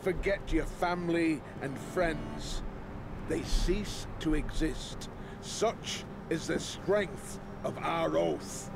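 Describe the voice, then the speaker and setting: Stern old commander, slow and grave. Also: male voice, a little high, background noise. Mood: solemn, stern. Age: older. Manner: grave, deliberate, oratorical. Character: stern old lord commander addressing his sworn brothers. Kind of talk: solemn scripted speech in a fantasy game. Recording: game audio with clear background ambience.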